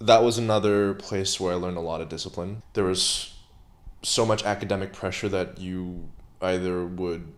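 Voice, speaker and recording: Deep-voiced young man, reflective guest. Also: steady tone, normal speed. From Hong Kong. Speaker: actor, interview guest. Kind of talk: casual interview conversation.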